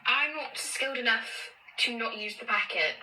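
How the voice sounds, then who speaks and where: A woman's voice: fairly high pitch, expressive, normal speed, roomy sound. A woman chatting playfully in a cooking clip, making jokes about her own cooking. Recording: distant phone clip with loud music.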